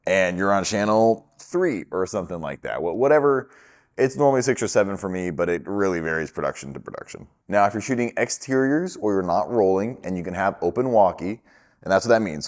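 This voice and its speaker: A man's voice: sits low, a little mellow. A man explaining things step by step in an informal, conversational way, like a casual instructional video. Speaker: instructor for a film gear rental company. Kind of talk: casual instructional crash course to camera.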